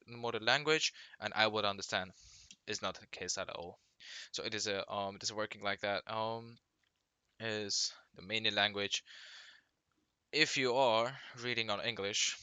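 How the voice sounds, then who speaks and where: A young man whose first language is Turkish, speaking English with a Turkish accent as a vlogger giving reading tips, halting and restarting often as he searches for words. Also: on the low side, bright, forceful tone, no echo. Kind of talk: casual talk to camera.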